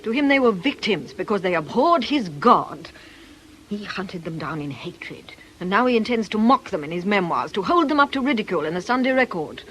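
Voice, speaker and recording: Bitter theatrical fortune teller, middle aged, weary and scornful, radio play, slight noise. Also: female voice, medium pitch, very animated, brisk, fairly bright tone, low-quality recording. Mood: vengeful. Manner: world-weary, scornful, declamatory. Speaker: actor in a radio drama. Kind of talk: radio drama acting.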